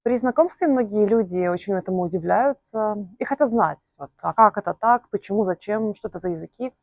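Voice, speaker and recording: A woman's voice: medium pitch, creaky, darkish tone, dry recording. Hesitant young woman vlogging in a dry room. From Belarus. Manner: thoughtful, hesitant, with many pauses and filler sounds. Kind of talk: casual talk to camera.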